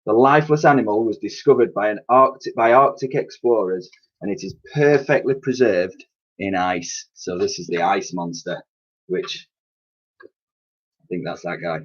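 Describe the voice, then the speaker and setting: A man in his thirties reading a children's storybook aloud in an expressive, explanatory way, like a teacher to pupils. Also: fairly low pitch, moderate pace, husky. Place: small attic room with sloped ceiling, indoors. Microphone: webcam or laptop mic at arm's length. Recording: dull, lo-fi webcam sound.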